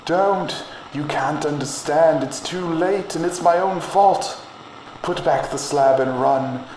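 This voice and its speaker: A man voicing a terrified, despairing man who urgently warns his friend in a hoarse, strained voice, in a horror audiobook. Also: a little high, darkish tone, pitched above the performer's own voice. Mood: frantic. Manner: urgent, halting pleas. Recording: echoey, noisy distant-sounding line.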